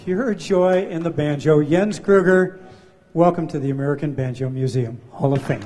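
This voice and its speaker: A man presenting at an awards ceremony, giving a slow, emphatic formal introduction. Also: a little high. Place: hall with audience. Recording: live hall with crowd noise.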